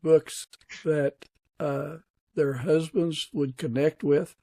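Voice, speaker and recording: A man's voice: on the high side, steady tone, slow, gravelly, mellow, dry recording. A man hosting a podcast, talking in a casual interview style. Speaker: podcast host.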